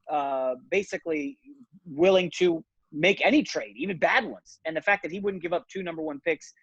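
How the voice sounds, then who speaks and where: A man's voice: fairly high pitch, dry recording, dull audio. A man giving blunt, casual sports and betting analysis as an interview guest, speaking conversationally.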